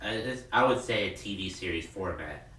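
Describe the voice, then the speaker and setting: A man's voice: low-pitched, animated, normal speed, pushed and bright. A man chatting casually as a podcast co-host, heard from off the mic in a roomy, noisy recording. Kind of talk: casual chat. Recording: roomy, distant mic with noise.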